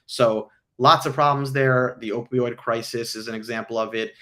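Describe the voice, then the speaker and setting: Hoarse man hosting a livestream. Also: medium pitch, fairly even intonation, normal speed, pushed and bright. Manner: frequent ums, earnest explanatory. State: hoarse from illness. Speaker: livestream host answering viewer questions. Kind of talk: casual livestream talk.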